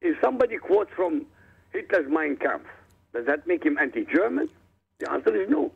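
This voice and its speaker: A man's voice: on the high side, wildly swooping pitch, normal speed, vocal fry, slightly rough. A man whose first language is Arabic, a guest author speaking emphatically and combatively in a radio interview. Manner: emphatic, combative, addresses listeners directly. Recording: tinny phone line.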